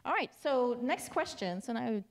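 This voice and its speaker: A woman's voice: a little high, sing-song, normal speed. A woman moderating a student panel in a university lecture hall on a handheld mic, upbeat and chatty, laughing often.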